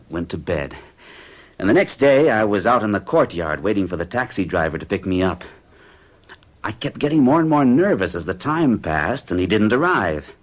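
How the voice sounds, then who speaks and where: Hypnotized man, husky low voice, lively pitch, hushed and dreamy, radio play. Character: uneasy man under hypnosis. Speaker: narrating lead character. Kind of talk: 1970s radio drama acting.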